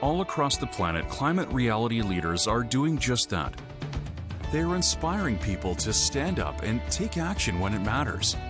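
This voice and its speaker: A man's voice: medium pitch, sing-song, normal speed. A man reading a scripted promo voice-over in an earnest, rousing way. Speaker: promo narrator. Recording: voice-over over loud music. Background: guitar music.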